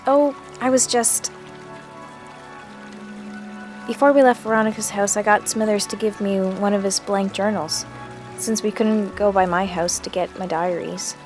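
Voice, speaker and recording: An actress plays an earnest teenage girl surviving a zombie apocalypse. She speaks in a confiding, reflective way, as if into a diary, at a middling pitch. It is audio drama, with music beneath the voice. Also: fairly even intonation, normal speed, a little mellow, natural voice. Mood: shy, tender. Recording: music under the voice.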